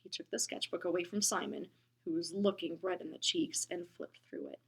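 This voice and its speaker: A woman reading a children's book aloud, gentle and expressive, often dropping into a whisper. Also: lowish voice, a little fast, vocal fry, breathy edge. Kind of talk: storybook read-aloud.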